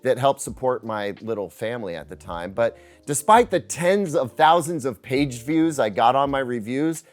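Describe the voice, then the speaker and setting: American male reviewer, middle-aged, medium pitch, earnest personal storytelling with lively intonation, light music bed underneath. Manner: earnest, emotive, confiding delivery with dramatic pauses. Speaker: tech and speaker reviewer, video host. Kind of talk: personal scripted storytelling to camera.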